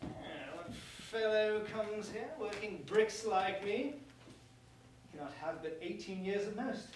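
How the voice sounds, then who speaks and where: Man playing a pompous high-voiced prince, echoey. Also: unhurried. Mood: curious, condescending. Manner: declamatory, theatrical, formal verse speech. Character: pompous, bitter, exiled young prince. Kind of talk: staged reading of verse comedy. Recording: distant mic, echoey room, faint noise.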